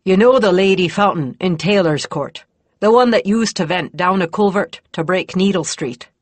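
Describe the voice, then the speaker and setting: A woman's voice: lowish voice, normal speed, dry recording, natural voice. A woman doing a rustic, deferential male provost marshal. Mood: reporting. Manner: rustic, deferential, plain-spoken. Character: plodding, dutiful middle-aged male provost marshal.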